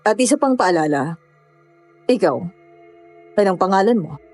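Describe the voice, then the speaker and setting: An actress as a solemn mystic woman of a horror drama. She gives grave, deliberate orders in a mid-range voice, with music underneath. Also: medium pitch, animated, lightly acted. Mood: grave warning. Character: solemn mystic woman warning mountain climbers. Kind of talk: horror audio drama acting.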